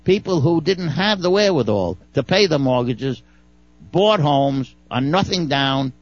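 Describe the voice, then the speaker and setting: A man's voice: fairly high pitch, animated, a little slow, dry recording, muffled recording. A man with a Brooklyn accent giving folksy, wry legal commentary on a talk radio show, often stumbling and repeating words.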